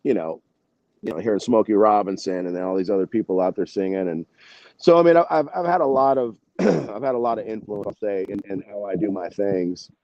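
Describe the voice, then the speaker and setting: A man with a fairly low, very mellow voice chats casually in an interview. He rambles through anecdotes with slangy, salty informality. The recording is dull and lo-fi. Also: a little fast, dry recording. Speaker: rock singer and songwriter, interview guest.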